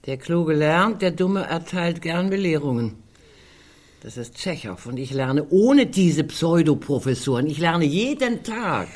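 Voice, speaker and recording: An actress playing a caustic, morbid elderly sister in a radio play, speaking dryly and deliberately. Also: female voice, low-pitched, lively intonation, darkish tone. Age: older. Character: sharp-tongued, dry, morbid elderly sister Greta. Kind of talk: naturalistic radio play acting.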